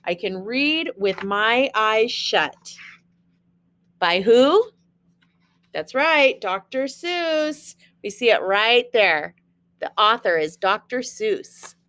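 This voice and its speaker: A woman's voice: a little high, very animated, slow, a bit bright, smooth. A woman teacher reading a children's storybook aloud, expressively, with chatty asides and questions to young listeners.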